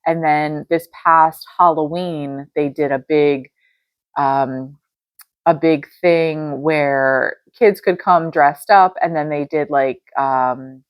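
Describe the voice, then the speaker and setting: A woman's voice: low-pitched, fairly even intonation, slow, no echo. A middle-aged woman hosting her own podcast from her dining room, chatting in a rambling way with lots of fillers, a little nasal and congested. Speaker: podcast host. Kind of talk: casual solo podcast chat.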